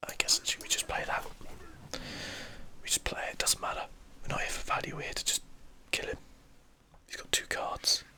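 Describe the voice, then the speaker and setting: Man, breathy whisper, very sharp and bright, ASMR gaming commentary, casual, rambling. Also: normal speed. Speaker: video game streamer.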